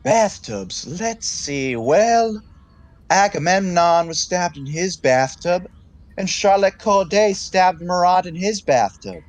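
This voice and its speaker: A man's voice: high voice, animated, slow, dry recording. An actor playing an earnest, lovestruck, rather pompous young man in a 1920s comedy audio play, speaking in a florid, self-serious way. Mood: stuffy.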